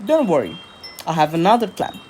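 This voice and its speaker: A woman playing a breezy, overconfident spaceship captain in an audio sitcom, grandly reassuring and unhurried. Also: lowish voice, big pitch swings, background noise. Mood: reassuring, dreamy. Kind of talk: sci-fi audio drama sitcom acting.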